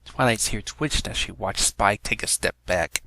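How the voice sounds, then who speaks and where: A man's voice: on the low side, measured pace, vocal fry, pushed and bright, no echo. A man reading fan fiction aloud as a scripted story narration.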